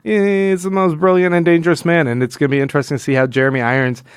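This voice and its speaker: A man co-hosting a podcast, casually discussing comics in a halting way, repeating words as he goes. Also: a little high, little pitch movement, clear, resonant tone, no room sound, clean studio sound. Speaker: podcast co-host.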